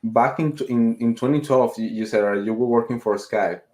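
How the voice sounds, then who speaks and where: A man's voice: medium pitch, moderate pace, warm tone. A young man hosting a casual interview over a video call, hesitant and full of fillers. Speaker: host. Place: small room at home.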